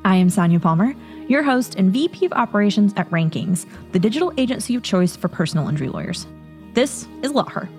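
A woman's voice: mid-range voice, big pitch swings, quick pace, music in the background. A woman hosting a podcast, reading a polished intro and then asking interview questions. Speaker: podcast host.

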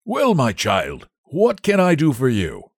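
A man's voice: mid-range voice, huge pitch swings, slow, gravelly, no room sound, clean studio sound, voice pushed up, heavily acted. Man doing a kindly country squire. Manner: kindly, genial. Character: kind wealthy older country squire.

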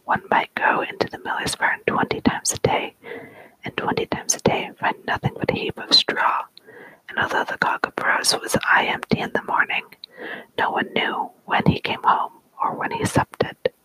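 A storyteller reading an old folk tale aloud entirely in a soft, unvoiced whisper. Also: normal speed, no echo, low-quality recording.

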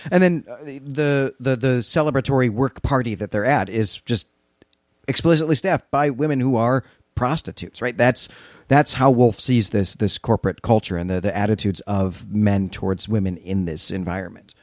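A man's voice: medium pitch, moderate pace, darkish tone, no room sound, clean studio sound. A man co-hosting a podcast, explaining and recapping literature in an articulate, engaged, conversational style. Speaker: podcast co-host.

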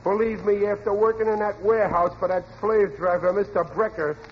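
A man acts out a stubborn, bumbling working-class father in an old radio sitcom. The voice is pitched high for a man, full of exasperated bluster. The recording is dull and noisy. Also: steady tone, fairly quick, close to an everyday voice. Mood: confident. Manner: exasperated, blustery, muddled sayings. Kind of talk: 1940s radio sitcom acting.

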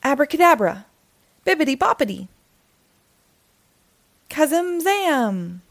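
A cheeky young boy from a storybook, acted by a woman. The voice is high and swoops about with whiny, sarcastic protest. It sounds reluctant and full of back-talk, recorded close and dry. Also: big pitch swings, slow, vocal fry, no echo, exaggerated voice. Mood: excited. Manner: complaining, exclamatory back-talk. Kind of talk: children's storybook read-aloud.

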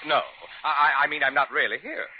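An actor playing a fussy, blustering middle-aged hospital chief who stammers and repeats himself, in a 1940s radio drama. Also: male voice, fairly high pitch, steady tone, brisk, lightly acted. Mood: flustered, sheepish. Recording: muffled, faintly noisy old radio recording.

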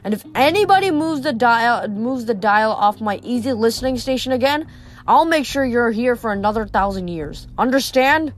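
Woman doing a dry greedy old ferryman of the dead, haggling, kinda high voice. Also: expressive, normal speed, natural voice. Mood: menacing.

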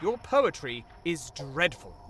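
A man's voice: fairly high pitch, sing-song, takes it slowly, background noise. A man playing a flustered, bossy young warlock minion with a theatrical, fussy way of talking, in a comic fantasy audio drama. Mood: alarmed, scolding.

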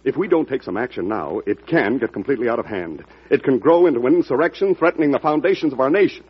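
An actor playing an anxious, insistent government official in an old radio drama, husky and pressing. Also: male voice, mid-range voice, lively intonation, fast, muffled recording. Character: worried, insistent male treasury secretary. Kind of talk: 1940s radio acting.

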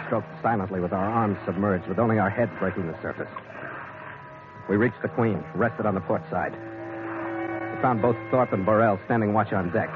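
Male lead narrator, low voice, tinny old radio sound, music behind. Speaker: narrator and ship skipper lead. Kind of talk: 1940s radio acting, first-person narration.

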